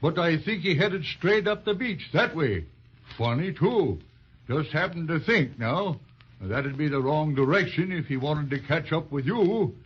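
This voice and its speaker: Roly poly cop toy on old 30s radio, husky, folksy, kinda high for a man. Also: animated. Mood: rambling. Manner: folksy, halting. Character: jolly, slow male roly-poly toy policeman. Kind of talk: 1930s children's radio serial acting. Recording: muffled 1930s radio transcription.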